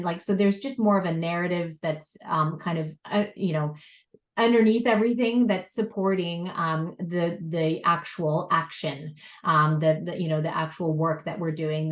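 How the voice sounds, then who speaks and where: A woman professor speaking on a panel, talking in a loose, rambling way with many fillers and restarts. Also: on the low side. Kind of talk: casual panel discussion. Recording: roomy echo.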